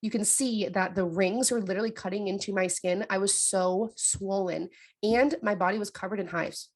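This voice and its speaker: Gravelly young woman telling a story. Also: medium pitch, fairly even intonation, moderate pace. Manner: playful, storytelling, agreeable. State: congested from a cough. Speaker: podcast co-host. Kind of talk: casual chat.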